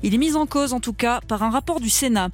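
A woman reading the radio news headlines in a crisp, measured way. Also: a little high. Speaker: newsreader.

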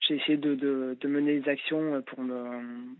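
A man in his late twenties calling in to a radio show, speaking haltingly with many fillers and restarts. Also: medium pitch, level tone. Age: late 20s. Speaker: caller. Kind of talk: phone-in call. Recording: tinny phone line.

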